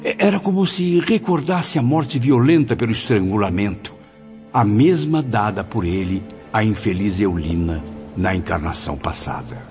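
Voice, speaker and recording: A man's voice: on the low side, expressive, moderate pace, a little mellow, lightly acted. A man acting an anguished, devout male spirit in a radio drama, with a Brazilian accent and emotive, reverent delivery. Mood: horrified, sorrowful. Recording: muffled lo-fi, music behind.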